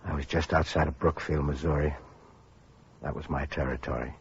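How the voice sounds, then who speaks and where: A grieving, middle-aged survivor in a vintage radio drama. The voice is extremely low and very husky, delivered in a somber, subdued way. The old broadcast recording sounds muffled. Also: male voice, fairly even intonation, normal speed, heavily acted. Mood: somber, weary. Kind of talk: 1940s radio drama acting.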